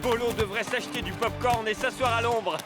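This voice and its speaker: High-pitched, upbeat football commentator. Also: male voice, brisk, loud music behind the voice. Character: upbeat male football co-commentator, playful and teasing. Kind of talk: sports commentary in French cartoon dub.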